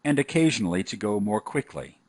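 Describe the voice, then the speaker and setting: A man narrating a scripted software tutorial in an instructional style. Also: lowish voice, sing-song, fairly quick, breathy edge, no echo.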